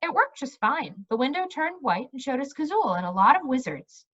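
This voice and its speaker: A woman voicing a brisk, assertive female cook and librarian from a fantasy storybook, direct and firm. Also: sits high, sing-song, fairly bright tone, highs cut off, exaggerated voice. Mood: explaining.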